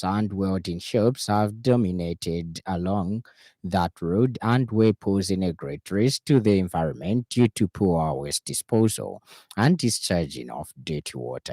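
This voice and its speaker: A male radio news reader with a Zambian accent delivers a formal, measured bulletin. His voice is low and mellow. The sound is dry and close, with hardly any room to it. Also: no room sound.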